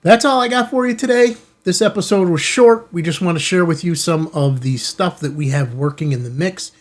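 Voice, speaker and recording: Higher-pitched American man, chatty vlog host. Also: moderate pace. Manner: informal, chatty, rhetorical questions to viewers. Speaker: ham radio channel host. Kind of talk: casual product unboxing chat to viewers. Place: indoor studio in an office building.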